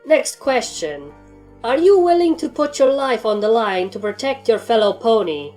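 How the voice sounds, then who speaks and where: A woman plays a stern pony commander in an audio storybook, a mare who gives orders in a matter-of-fact way and is easily annoyed. Music plays clearly behind the voice. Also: fairly high pitch, very animated, normal speed, darkish tone, voice pushed up. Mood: businesslike questioning.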